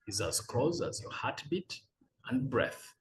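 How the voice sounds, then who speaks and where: A man in his forties talks through motivational tips in an earnest, measured way. His pitch rises and falls with lively movement. A faint hiss sits under the voice. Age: 40s. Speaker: business coach. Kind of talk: motivational talk read as a letter.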